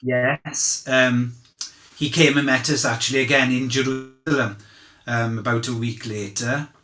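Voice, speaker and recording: A man playing an earnest disciple testifying as an eyewitness at a dramatized inquest, speaking conversationally and haltingly as he searches for words. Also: mid-range voice, fairly even intonation, bright, forceful tone. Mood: earnest, sincere. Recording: echoey room.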